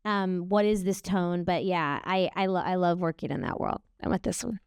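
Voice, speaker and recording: A chatty woman answering on a live event stage, quick to poke fun at herself. Her voice is smooth and clear, right up on a handheld mic with no room sound. She holds it a little lower than her natural pitch. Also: fairly even intonation, a little mellow, no echo, studio quality. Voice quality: naturally high voice, kept lower on purpose. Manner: bubbly, self-deprecating, chatty. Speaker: actor on a panel. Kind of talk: casual panel Q&A talk. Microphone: handheld mic held close.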